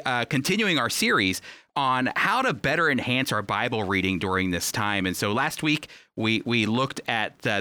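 A man's voice: mid-range voice, bright, forceful tone, no room sound. A man hosting a radio program, teaching in an earnest, encouraging, conversational way and speaking directly to listeners. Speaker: radio host and Bible teacher. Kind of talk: conversational Bible teaching and commentary. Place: remote home recording, dry.

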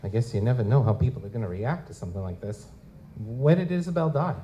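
A man's voice: medium pitch, very animated, quick pace, mellow, close to an everyday voice, low-quality recording. A man playing a wry, streetwise goblin-like private investigator in a noir audio drama, speaking in casual slang. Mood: probing, dry. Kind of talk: live audio drama dialogue and first-person narration. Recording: noticeable background noise.

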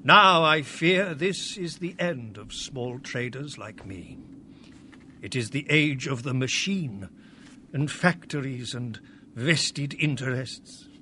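An actor playing a kindly, sorrowful elderly merchant, earnest and theatrical in a radio drama. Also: male voice, mid-range voice, lively intonation, slow pace, pushed and bright, a little hiss or hum, exaggerated voice. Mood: wistful, defeated. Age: older. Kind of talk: family radio drama acting.

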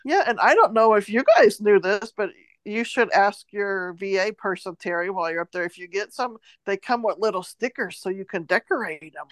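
A woman co-hosting a podcast in casual chat, talkative and quick to laugh. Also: medium pitch, dry recording, poor audio. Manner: chatty, laughs often. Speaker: podcast co-host.

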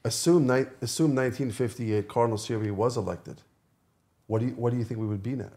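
A man's voice: mid-range voice, fast talker, dark tone. A man co-hosting a podcast in casual chat, agreeable and often repeating his words. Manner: agreeable, interjects short affirmations, repeats words. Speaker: podcast co-host.

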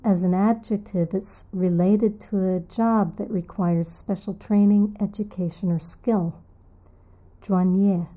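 A woman teaches English vocabulary in a slow, deliberate way, pausing over each word and saying it again. Her voice sits in a middle register with a very dark, mellow tone. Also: mid-range voice, fairly even intonation. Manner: slow, careful explaining, repeats key words. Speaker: English teacher and podcast host. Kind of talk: English vocabulary lesson for learners.

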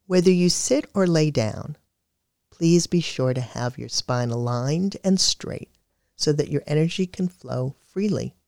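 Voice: medium pitch, vocal fry, no echo. Dry, close guided meditation narrator. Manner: calm, measured, soothing delivery.

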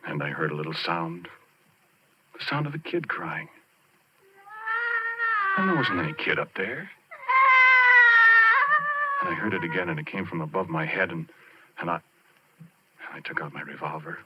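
An actor playing a haunted, plain-spoken oil rig roughneck, telling his story haltingly and colloquially in 1940s radio drama style. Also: low-pitched, wildly swooping pitch, very noisy. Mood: hushed dread. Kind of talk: 1940s radio drama acting, first-person narration. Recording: muffled vintage 1940s radio recording, organ-era music.

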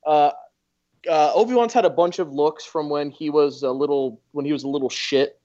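A man's voice: a little high, moderate pace, mellow, highs cut off. A man guesting on a casual podcast, giving laid-back opinions.

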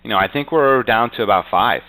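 A man's voice: on the low side, animated, fairly quick, bright, forceful tone, rough recording. A man giving casual business interview answers as a real estate agent, conversational and peppering his talk with "you know".

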